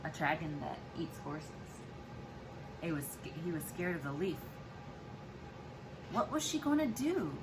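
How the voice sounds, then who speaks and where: A woman's voice: sits low, measured pace, long pauses, heavy background noise. A woman, a mother, reading a children's storybook aloud expressively to her child. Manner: expressive, acts out dialogue and explains to child.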